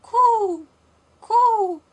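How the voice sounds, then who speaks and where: A small, eager storybook pigeon played by a woman, pitched very high. The delivery is plaintive and sing-song, with a cooing shape to the words. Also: drawn-out words, heavily acted. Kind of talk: storybook character voice.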